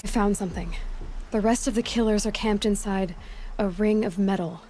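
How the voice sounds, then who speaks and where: A woman playing a young hunter in a game cutscene, steady and confident, an outsider among her people, talking plainly with a faint hiss of noise behind her. Her voice sits at an ordinary middle pitch. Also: moderate pace, natural voice. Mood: determined. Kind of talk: video game cutscene acting.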